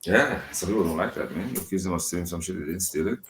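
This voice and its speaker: Man, very deep voice, laid-back podcast chat, rambling, swears a lot. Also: animated, fast, fairly bright tone, slight background noise. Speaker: podcast co-host. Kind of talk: casual chat.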